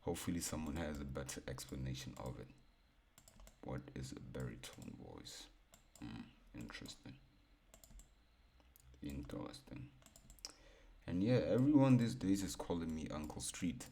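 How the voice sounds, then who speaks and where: A young man with a baritone voice casually talking through a chart breakdown, laid-back and repeating himself as he thinks aloud. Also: very animated, normal speed, gravelly, pauses often, warm tone, slight background noise. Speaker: forex trading educator.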